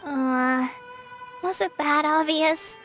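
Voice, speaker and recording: Nervous, self-doubting young game character, very high pitch, halting delivery. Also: female voice, fairly bright tone, soft background music, heavily acted. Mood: awkward. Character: shy, anxious young woman who overthinks and doubts herself. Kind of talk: video game voice acting.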